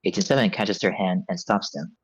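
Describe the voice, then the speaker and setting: A man's voice: deep voice, fairly even intonation, brisk, breathy edge. A man reading stage directions as scripted narration at a table read, on a slightly muffled recording.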